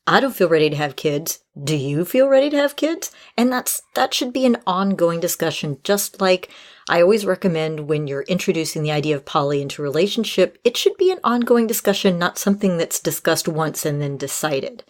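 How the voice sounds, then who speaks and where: A woman with a slightly low voice hosts a podcast, talking through relationship-style advice. She explains things in a measured, conversational manner, as if answering a listener. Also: animated. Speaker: podcast host.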